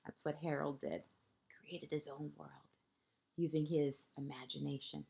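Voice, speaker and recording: Female kindergarten storyteller, mellow and slow, gentle, expressive read-aloud with hushed phrase endings. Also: low-pitched, vocal fry. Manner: deliberate pauses, short phrases, asides to young listeners. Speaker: kindergarten teacher reading a picture book.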